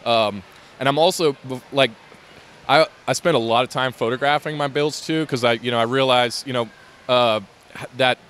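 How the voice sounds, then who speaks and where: A man's voice: medium pitch, moderate pace, a bit bright. A man, talking casually about his LEGO build at a convention, with lots of fillers and restarts. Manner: rambling, many fillers and restarts. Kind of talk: casual interview. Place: convention hall, indoors.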